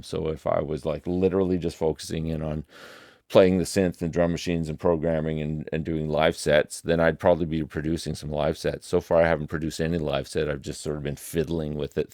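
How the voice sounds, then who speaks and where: A man's voice: a little mellow, dry recording. A middle-aged man, thinking aloud in a reflective vlog recorded in his home music studio. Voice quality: deep voice. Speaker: musician and video creator. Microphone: desk studio microphone.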